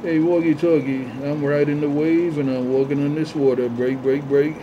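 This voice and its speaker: Male CB operator, level pitch, repetitive call-sign patter, clear background noise. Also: level tone, moderate pace. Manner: repetitive, chanting catchphrases. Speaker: CB radio operator. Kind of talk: CB radio chatter with call signs and repeated catchphrases. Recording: noisy, dull CB radio audio.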